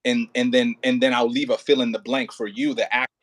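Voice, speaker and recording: A man coaching an actor casually as an audio play director, thinking aloud in halting, repetitive phrases and sounding encouraging. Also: medium pitch, little pitch movement, no echo.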